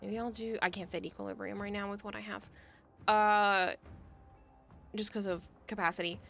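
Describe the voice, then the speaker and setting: A woman streaming a game and chatting casually, thinking aloud as she plans, her voice going hoarse. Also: mid-range voice, steady tone, normal speed, faint music behind. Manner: thinking aloud, hesitant, soft at times. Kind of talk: casual game livestream chat.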